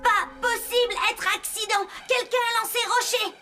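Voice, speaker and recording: A woman's voice: very high-pitched, fairly even intonation, normal speed, over music. A woman voicing a cute, naive little boy robot in a French cartoon dub, with childlike broken grammar. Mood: alarmed, determined.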